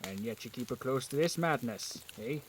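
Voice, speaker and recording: A man's voice: mid-range voice, animated, normal speed, slight background noise, lightly acted. A man playing a resentful working villager in a period audio drama, sardonic and challenging in manner. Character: bitter, resentful lower-class village husband in plague times.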